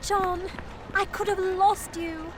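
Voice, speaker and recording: An actress playing a plucky, devoted young Victorian wife and detective's assistant in a radio drama, spirited, earnest and polite. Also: female voice, very high-pitched, fairly even intonation, slow, background noise. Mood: affectionate.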